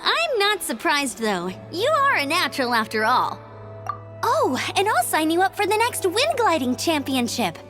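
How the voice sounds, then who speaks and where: A spirited young outrider in a fantasy game cutscene. The voice sits very high and bounces through a lively range. Music plays clearly beneath the dialogue. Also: female voice, moderate pace, full character voice. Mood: teasing. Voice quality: bright, upbeat and energetic. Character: young woman, cheerful, bold outrider. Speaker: game character.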